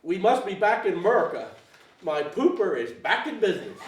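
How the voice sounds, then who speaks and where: A man's voice: high-pitched, big pitch swings, brisk, a little hiss or hum. A man voicing a rowdy, clownish fisherman at a table reading, hamming it up and whooping. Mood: hammy, exuberant. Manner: whooping, hammy. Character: rowdy, clownish, over-the-top male fisherman. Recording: echoey room, distant dull recording.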